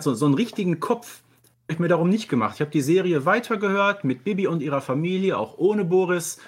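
A man in a casual podcast conversation, talking like an enthusiastic fan. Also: on the high side. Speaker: podcast co-host appearing as guest.

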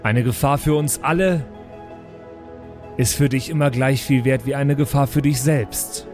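A solemn, self-serious orator played by a man in an improvised audio roleplay. He speaks very slowly at a middle pitch, weighing each rhetorical phrase. Music plays clearly behind him. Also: steady tone, a little mellow, natural voice. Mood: solemn persuasion. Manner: ponderous, deliberate, rhetorical. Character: slow, solemn male would-be persuasive orator. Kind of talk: improvised in-character tabletop roleplay speech.

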